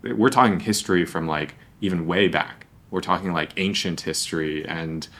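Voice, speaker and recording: A man's voice: deep voice, normal speed, fairly bright tone. A young man hosting a podcast, asking long, rambling, conversational questions. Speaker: podcast host. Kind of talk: podcast interview.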